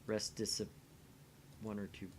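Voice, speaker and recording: A man's voice: on the low side, completely flat delivery, takes it slowly, darkish tone, slight background noise. A man hosting a gaming video, talking casually as he plays. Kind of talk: casual chat while playing.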